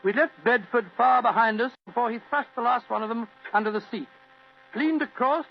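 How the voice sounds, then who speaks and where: Older British gentleman storyteller, high creaky voice, genial, muffled humming radio drama recording. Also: fairly quick. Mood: genial, reminiscing. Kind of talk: old radio drama narration. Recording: muffled old radio recording with hum and hiss.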